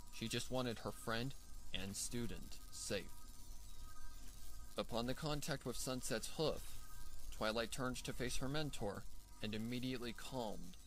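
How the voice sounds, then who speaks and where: A man narrating a dramatic scripted fan fiction story in a measured, theatrical way. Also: mid-range voice, fairly bright tone, rough recording. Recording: music bed behind the voice. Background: background music.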